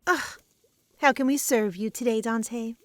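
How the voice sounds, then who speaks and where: A woman's voice: fairly high pitch, measured pace, heavy background noise, close to an everyday voice. A woman playing a stern, teasing potion merchant in a fantasy audio drama, poised, blunt and sardonic.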